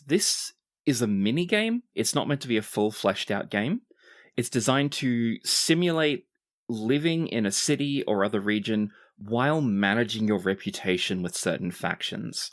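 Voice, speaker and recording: Game master, explanatory, methodical, mid-range pitch, tabletop rules rundown.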